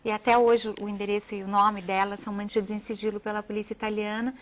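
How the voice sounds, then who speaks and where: A woman's voice: mid-range voice, little pitch movement, fast talker. A Brazilian woman, a journalist and author, speaking as an interview guest in an earnest, emphatic, wordy way. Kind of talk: television interview, animated storytelling.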